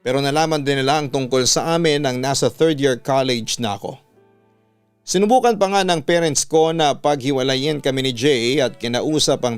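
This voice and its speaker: Smooth, clear Filipino male storyteller over music. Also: medium pitch. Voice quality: smooth, resonant. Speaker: radio announcer and story narrator. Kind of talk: dramatic scripted reading of a listener's letter. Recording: music bed under the voice. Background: background music.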